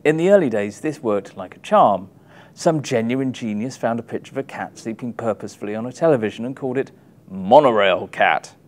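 A man in his thirties giving an expressive, wry reading from his book, with emphatic delivery and dramatic pauses. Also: medium pitch. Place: small indoor room, publisher's backdrop. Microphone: lapel mic.

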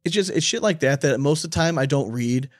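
A man's voice: on the high side, expressive, a little fast, no echo. A man hosting a casual sports podcast, talking in a blunt, rambling, loose way with some swearing. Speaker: podcast host.

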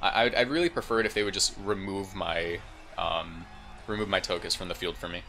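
Bright-voiced, slightly congested man commentating a game. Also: sits low, brisk, faint music behind. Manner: informal, thinking aloud. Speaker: gaming video host. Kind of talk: casual gameplay commentary.

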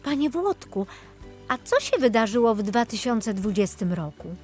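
A woman's voice: fairly high pitch, big pitch swings, moderate pace, a little mellow, faint music behind. A woman voicing a curious, wide-eyed young girl in a children's storybook, eager and full of questions.

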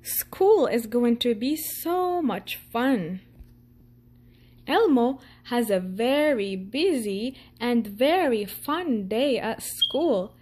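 A woman reading a children's picture book aloud in a gentle storytelling manner. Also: on the high side, lively intonation, drawn-out words, slight background noise. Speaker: storytime reader.

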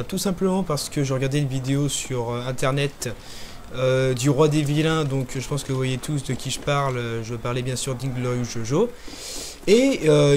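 A young man hosting a gaming channel, talking through a zombie game in casual commentary, hesitant with lots of pauses and fillers. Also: medium pitch, darkish tone, slight background noise. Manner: hesitant, many pauses and fillers, talks while playing.

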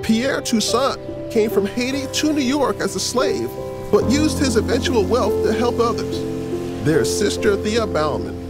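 An actor playing a kindly, earnest adult storyteller, explaining things to children in an audio drama. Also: male voice, very high-pitched, animated, unhurried. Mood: encouraging. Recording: loud music behind the voice.

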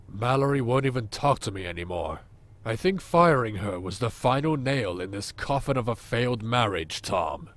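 Man playing a deadpan, sarcastic boss. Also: mid-range voice, normal speed, lightly acted. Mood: glum. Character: crude, sarcastic male boss, unhappily married. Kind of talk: audio drama acting.

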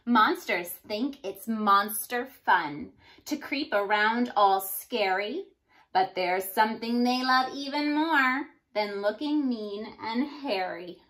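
A woman in her thirties giving an expressive storybook read-aloud for young children, like a teacher addressing her class. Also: on the high side. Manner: animated, addressing young children, sounding out rhymes. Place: small indoor room.

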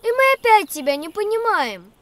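A woman's voice: very high-pitched, sing-song, fairly quick, noisy background. A woman voicing a small, earnest, curious childlike creature pupil in a children's cartoon. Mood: puzzled.

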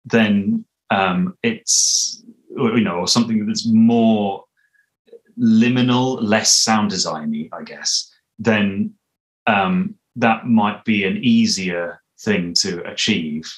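Man, thirties, deep voice, casual interview chat, thoughtful, halting, home video call. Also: poor audio. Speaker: interview guest, audio drama composer.

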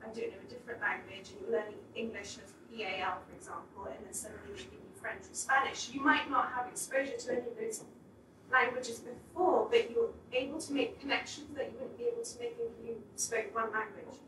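A woman's voice: on the high side, expressive, fairly quick, a bit bright. A woman in the audience at a public talk, asking a long, reflective question. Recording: echoey room, distant mic, faint noise.

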